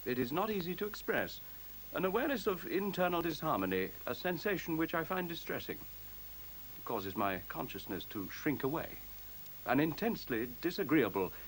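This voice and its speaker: Serene, articulate machine intelligence, swooping intonation. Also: male voice, fairly high pitch, sing-song, poor audio. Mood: pained. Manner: measured, formal, articulate, pedantic. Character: newborn machine intelligence, male-voiced, serene, superior, coolly logical. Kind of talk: 1970s science fiction radio play acting. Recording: faint hiss, 1970s radio recording.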